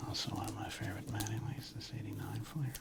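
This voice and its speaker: A man whispers in a low register, with very heavy creak running through his words. He sounds like an ASMR host thinking aloud, unscripted and rambling, in a relaxed, casual way. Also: quick pace. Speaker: host of a sports card ASMR channel. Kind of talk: ASMR, unscripted whispered commentary on a card collection.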